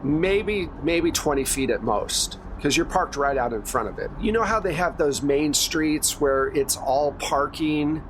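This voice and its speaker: A man's voice: a little high, expressive, measured pace, few pauses, noisy background. A man running a horror tabletop game as game master, describing scenes in a casual, unscripted way.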